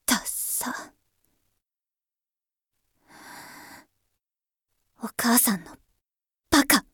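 A woman's voice: fairly high pitch, measured pace, a bit bright, breathy edge, no room sound, clean studio sound, full character voice. A woman acting a defiant, sullen school-age daughter in a voice drama, with curt, petulant speech. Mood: bitter.

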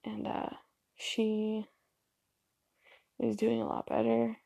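A young woman from Canada rambles on at home, apologizing and filling pauses with "um" and "like." Her voice is heavily gravelly and mellow, a little husky, and her nose is stuffy. The sound is dry and close. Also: fairly high pitch, monotone, no echo. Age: young adult. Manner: chatty, self-deprecating, filler-heavy with ums and likes. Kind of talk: rambling casual monologue.

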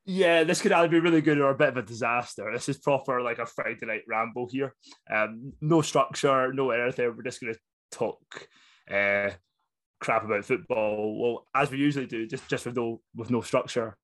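A man's voice: medium pitch, normal speed, a bit bright. A young adult man with a Scottish accent, co-hosting a casual football podcast, rambling with fillers and laughter. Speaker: podcast co-host. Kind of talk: casual chat.

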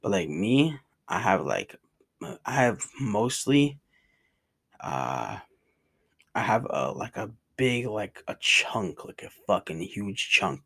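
A man's voice: on the low side, very animated, takes it slowly, creaky, a bit bright, slightly rough. A man with a New York and New Jersey accent, rambling casually in slangy vlog chat from his room. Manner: slangy, rambling, says bro a lot. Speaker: vlogger. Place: bedroom in a rented cabin.

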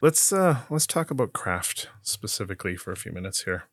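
Host, male, somewhat low voice, relaxed, drops quick "mm-hmm"s. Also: no room sound, clean studio sound. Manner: easygoing, short backchannel replies. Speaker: podcast interviewer. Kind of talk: casual interview conversation.

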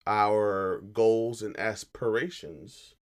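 A man co-hosting a casual podcast, tired and a little raspy, talking in a laid-back, joking way with slang. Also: lowish voice, very slow. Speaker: podcast co-host. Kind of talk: casual chat.

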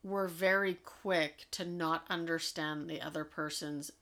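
Low, gravelly-voiced woman co-host. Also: steady tone, fairly bright tone. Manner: candid, quick, laughs easily. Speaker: podcast co-host. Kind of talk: casual chat.

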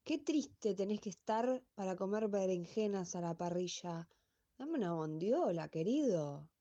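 A woman's voice: mid-range voice, expressive, a little slow, dark tone, dull audio, lightly acted. A woman playing a blunt, sarcastic middle-aged classmate in a comic radio play, mocking and foul-mouthed, with an Argentine accent. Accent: Argentine, Rioplatense.

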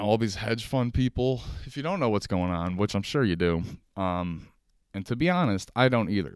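A man's voice: low-pitched, clear, resonant tone, no room sound, studio quality. An American man hosting a casual solo podcast, chatting in a rambling, informal, slangy way. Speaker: podcast host. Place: at a kitchen table at home.

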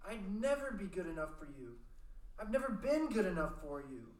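A performer voicing an apologetic teenage boy in an amateur radio play reading, halting and stammering. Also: medium pitch, big pitch swings, a little mellow, distant mic, lightly acted. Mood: guilty. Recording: echoey room, voice off-mic.

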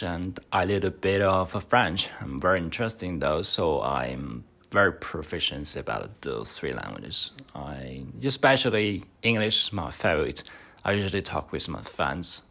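Young guy, really low voice, slow and halting, lots of uh and um. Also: animated, a bit bright. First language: Chinese. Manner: halting, hesitant speech with many fillers. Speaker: podcast host. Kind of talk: mock speaking exam answers.

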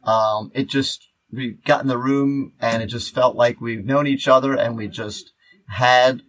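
A man's voice: mid-range voice, fairly even intonation, a little slow, fairly bright tone, poor audio. A man hosting a podcast in casual chat, rambling and often interrupting himself mid-sentence. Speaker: podcast host.

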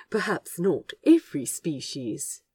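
A fussy, fond gentleman guide voiced by a woman in a fan audio drama. He is prim and eager, with a soft, breathy, mellow tone that moves slowly and rises and dips expressively. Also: lowish voice, sing-song, dry recording, full character voice. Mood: fond, pleased.

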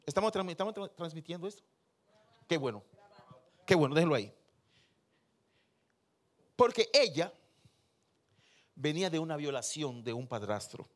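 A man, a pastor preaching and telling personal anecdotes in a lively way. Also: a little high, normal speed, long pauses. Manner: lively storyteller who acts out quotes.

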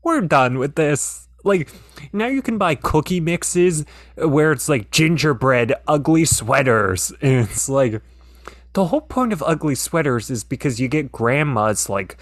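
A man's voice: fairly high pitch, expressive, measured pace, dry recording, studio quality. A man co-hosting a podcast in casual chat, talking in a hesitant, rambling way with many restarts. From Pennsylvania. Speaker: podcast co-host.

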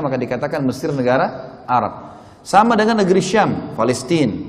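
A man giving a religious history lecture to a congregation as an Islamic preacher, explaining step by step and often checking that listeners follow. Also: mid-range voice. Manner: explanatory, addresses listeners, checks understanding with short tags. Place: mosque prayer hall. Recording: reverberant hall with long echo.

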